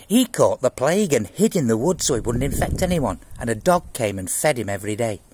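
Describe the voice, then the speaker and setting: A man voicing an earnest, pious schoolboy who solemnly recites facts in a storybook reading, with a husky voice. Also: mid-range voice, very animated, fairly quick, a little mellow, slight background noise, natural voice.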